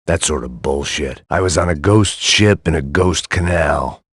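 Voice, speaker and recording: A man playing a jaded, middle-aged American action hero, narrating a noir video game in a gravelly, sardonic deadpan. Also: rumbling low, measured pace, no room sound, clean studio sound, heavily acted. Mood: weary, brooding. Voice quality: gravelly, husky. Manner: world-weary, sardonic, deadpan. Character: jaded hard-drinking middle-aged male action hero, cynical and bitter.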